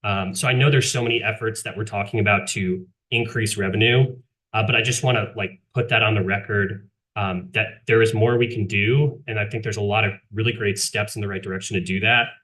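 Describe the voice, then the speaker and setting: Low-voiced man speaking at a council meeting. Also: steady tone, fairly bright tone, rough recording. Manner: measured, frequent fillers. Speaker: city council member.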